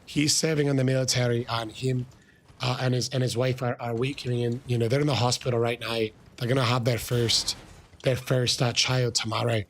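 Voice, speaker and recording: Hoarse, gravelly Irish streamer. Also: male voice, medium pitch, a little hiss or hum. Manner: excitable, bursts into shouting while thanking viewers. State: hoarse, losing his voice. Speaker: gaming livestreamer. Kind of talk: casual live-stream chat.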